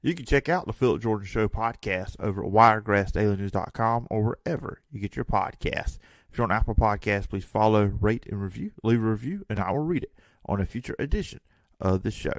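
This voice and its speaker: A man hosting a casual sports talk show from a studio, chatty and energetic. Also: lowish voice, no echo. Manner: energetic, chatty, quick restarts. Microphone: studio microphone.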